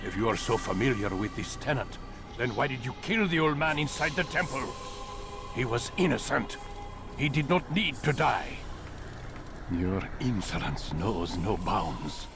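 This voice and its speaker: An actor playing a stern, imperious old master of assassins in a video game, speaking formally and with command. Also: male voice, medium pitch, expressive, a little slow, over music. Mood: stern, scolding. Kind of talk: dramatic video game acting.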